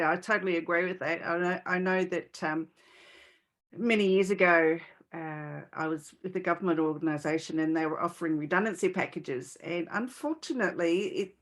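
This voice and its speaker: Lower-pitched woman hosting a podcast. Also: a bit bright. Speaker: podcast host. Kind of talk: casual conversational interviewing.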